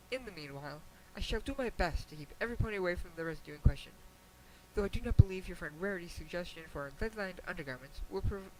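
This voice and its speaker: A high-pitched, regal pony princess, played by a man, reading out a letter in a storybook style. The delivery is formal and measured, patient and gently admonishing, with wry understatement. There is an audible hiss on the amateur recording. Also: rough recording. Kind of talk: dramatic reading of a fan fiction letter. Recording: hissy amateur home recording.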